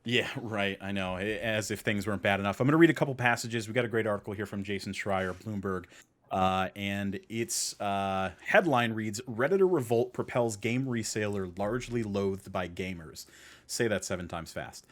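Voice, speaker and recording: A man's voice: lowish voice, normal speed. A man hosting a podcast in a casual, conversational style, stressing key points by repeating them. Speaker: podcast host.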